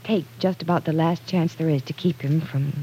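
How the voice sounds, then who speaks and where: A woman's voice: low-pitched, fairly even intonation, normal speed, dark tone, slight background noise, lightly acted, poor audio. An actress playing an earnest, stubborn, idealistic young small-town woman in an old-time radio drama. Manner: earnest, insistent.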